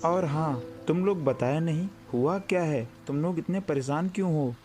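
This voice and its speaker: A man's voice: on the high side, moderate pace, darkish tone, soft background music, close to an everyday voice. A man playing a kindly, caring village schoolmaster near retirement in a cartoon story. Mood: concerned, friendly. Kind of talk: Hindi cartoon story dialogue.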